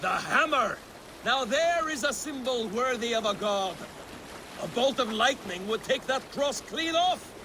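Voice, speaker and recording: An actor playing a brash, scornful Viking warrior with a boastful manner in a video game cutscene. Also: male voice, extremely high pitch, expressive, a little slow. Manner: boastful, scoffing. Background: water and boat noise.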